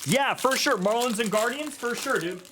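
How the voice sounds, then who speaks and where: A man's voice: very high-pitched, moderate pace. An American man hosting a live card break, joking and talking straight to his chat. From United States. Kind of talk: casual live-stream chat. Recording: noisy with card-pack crinkling.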